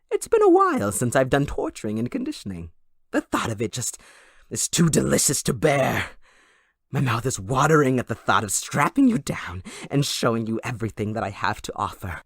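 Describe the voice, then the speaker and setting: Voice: medium pitch, slightly rough, dry recording, studio quality. A performer voicing a gleeful, sadistic supervillain kidnapper in an audio roleplay, theatrical, mocking and possessive toward a captive listener. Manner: theatrical, mocking, giggling, swooping between croon and shriek.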